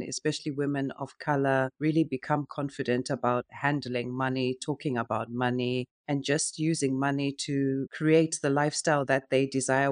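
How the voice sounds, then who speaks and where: A woman's voice: deep voice, no echo, clean studio sound. A middle-aged woman from Zimbabwe, a guest on a podcast, explaining her work in long, flowing, reflective sentences with casual fillers. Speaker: podcast guest, wealth coach. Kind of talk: relaxed conversational interview.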